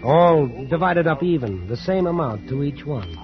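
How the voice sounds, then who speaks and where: An actor playing a blunt, exasperated first mate in a 1940s radio drama, sardonic and incredulous. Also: male voice, a little high, big pitch swings, moderate pace. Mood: wry storytelling. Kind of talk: 1940s radio acting, first-person narration. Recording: dull old 1940s radio recording, faint music.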